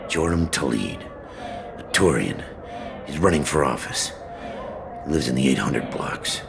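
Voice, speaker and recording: An actor playing a surly, arrogant crime boss in a video game, gravelly, curt and contemptuous. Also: male voice, a bass voice, animated, unhurried, background noise, heavily acted. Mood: grudging.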